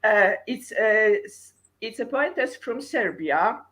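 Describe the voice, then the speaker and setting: A middle-aged woman from Poland with a Polish accent, speaking English in an earnest, halting way as she searches for words in an interview. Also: a little high, lively intonation, fairly bright tone. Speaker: guest poet and translator. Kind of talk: casual interview.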